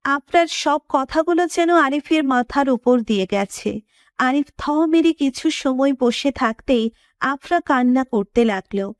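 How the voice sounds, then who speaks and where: A woman's voice: high-pitched, animated, a little slow, no room sound, studio quality. A woman reading scripted narration for a romantic story as its narrator.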